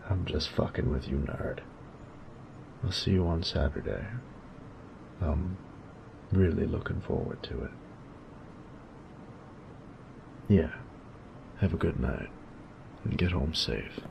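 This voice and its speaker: Man acting a cocky young male bully, husky gravel, slangy teasing, intimate audio drama, unhurried drawling delivery. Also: rumbling low, lo-fi sound, noisy background, heavily acted. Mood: flustered, fond. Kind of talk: intimate romantic audio roleplay.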